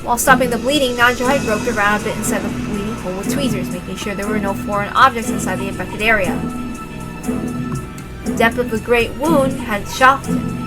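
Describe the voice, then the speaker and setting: A woman's voice: on the high side, brisk, over loud music. A woman reading visual novel narration aloud in a dramatic storytelling style.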